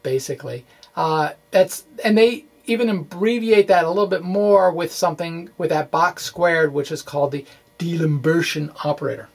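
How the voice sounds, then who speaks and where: A man presenting physics in an informal lecture style, with halting delivery, false starts and folksy, playful phrasing. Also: high voice, gravelly. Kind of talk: informal lecture walkthrough of equations.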